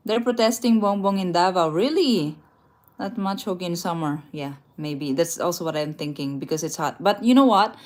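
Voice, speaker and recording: Woman, casual livestream chat, laughs a lot, a little low pitched. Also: moderate pace, a little mellow. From Philippines. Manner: chatty, laughs often, small restarts and slips. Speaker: travel livestream host. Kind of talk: casual live chat, reading viewer comments aloud. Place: indoors, a rented room at night.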